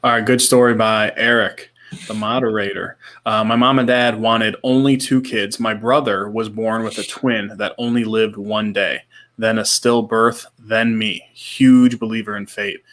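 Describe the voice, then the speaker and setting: A man's voice: fairly low pitch, level tone, measured pace, fairly bright tone. A man in his thirties co-hosting a casual podcast, talking in a laid-back, slangy way with short repeated reactions, sometimes reading out comments. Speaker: podcast co-host.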